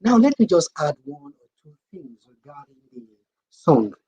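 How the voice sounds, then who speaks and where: A man in an explanatory conversation, pausing to find his words. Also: fairly high pitch, sing-song, mellow. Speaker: co-presenter explaining language.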